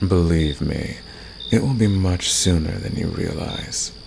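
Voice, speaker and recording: Man voicing a very deep, gravelly, flirtatious villain. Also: unhurried, full character voice. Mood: smug, seductive. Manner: teasing, smug, intimate, soft chuckles. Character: flirtatious, confident fantasy villain man, secretly protective. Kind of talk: ASMR romance roleplay audio drama. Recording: clear background noise, night cricket ambience.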